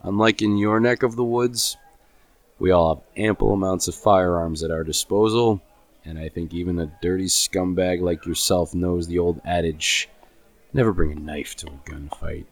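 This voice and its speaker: A man's voice: deep voice, steady tone, moderate pace. A man playing a smug, menacing small-town crime boss who talks in a mock-polite drawl. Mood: smug menace.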